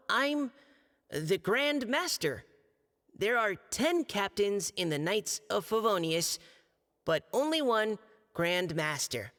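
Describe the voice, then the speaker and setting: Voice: medium pitch, fairly bright tone. An actor voicing a shy, nervous young knight boy in a video game, polite and hesitant, with a stammer.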